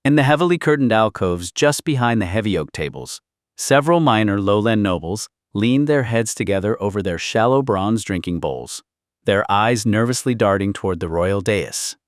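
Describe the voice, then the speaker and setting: Low-voiced man narrating a solemn historical audiobook. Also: expressive, moderate pace, no room sound, clean studio sound. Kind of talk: scripted dramatic historical fiction narration.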